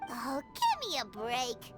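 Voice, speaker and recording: A woman voicing a tiny, childlike, cheeky and blunt fairy companion in a video game, squeaky and excitable. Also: slow pace, heavily acted. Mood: exasperated. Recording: loud music behind the voice.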